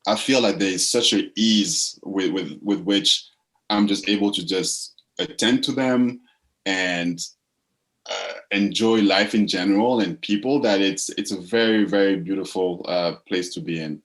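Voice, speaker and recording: A man from the Congo speaks as a guest in a casual interview. His voice sits low. He talks reflectively and often repeats a word while he finds the next one. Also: a little slow, fairly bright tone, poor audio. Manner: reflective, repeats words while searching for phrasing. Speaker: guest, purpose coach.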